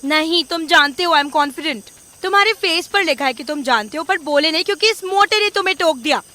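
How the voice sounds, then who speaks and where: A woman playing a bossy, suspicious, quick-tempered young business partner in an audio drama, indignantly mixing English into Hindi. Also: very high voice, lively intonation, sharp tone, exaggerated voice.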